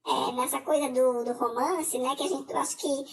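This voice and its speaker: A middle-aged Brazilian woman with a Brazilian Portuguese accent gives a personal testimony as a scam victim, talking haltingly with many filler hesitations. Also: high-pitched, steady tone, vocal fry, distant mic, background noise.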